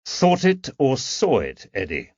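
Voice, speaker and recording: A man voicing a calm, perceptive Victorian detective with a gentle, measured way of talking, in a dull, dry recording. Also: mid-range voice, no room sound.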